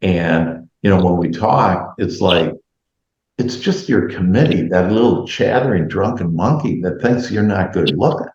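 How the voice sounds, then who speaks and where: Deep-voiced rambling man host. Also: lively intonation, darkish tone, roomy sound, muffled recording. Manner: rambling, halting, heavy fillers. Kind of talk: casual video chat.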